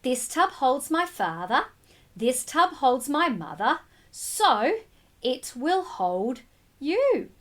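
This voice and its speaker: Lady doing a storybook little boy, high and swoopy, eager n anxious, pleading and exclaiming. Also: sing-song, slow, heavily acted. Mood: reassuring. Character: eager, anxious young boy devoted to his pet fish.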